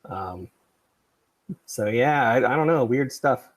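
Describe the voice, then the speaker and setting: A middle-aged man with a gravelly, husky voice hosting a casual livestream chat in a rambling, self-effacing way. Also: medium pitch, lively intonation, takes it slowly. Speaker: podcast creator and livestream host.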